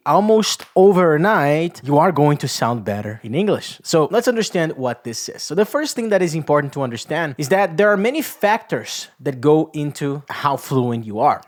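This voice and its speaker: A man from Brazil, a Portuguese speaker giving an upbeat English lesson and slowing down to stress key words. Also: a little high. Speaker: English teacher. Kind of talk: energetic video lesson.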